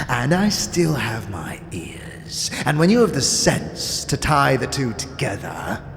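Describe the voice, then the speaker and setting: An actor playing a withered, sly and contemptuous old underworld boss in a fantasy audio drama, creaky and often dropping into breathy, taunting whispers. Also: male voice, lowish voice, a bit bright, exaggerated voice. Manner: theatrical, taunting, drawn-out phrases with street slang. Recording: long hall reverb, music behind.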